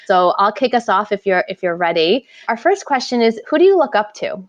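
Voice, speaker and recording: A woman's voice: mid-range voice, big pitch swings, brisk. A woman co-hosting a podcast interview in an effusive, enthusiastic, conversational manner. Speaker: podcast co-host. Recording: slightly dull, lo-fi voice track.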